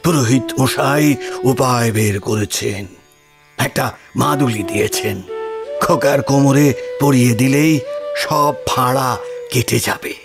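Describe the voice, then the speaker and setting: A man playing a landlord gently reassuring his wife in a horror audio drama, with a soft, soothing manner. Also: medium pitch, slow, creaky, pauses often, music in the background. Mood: reassuring, relieved.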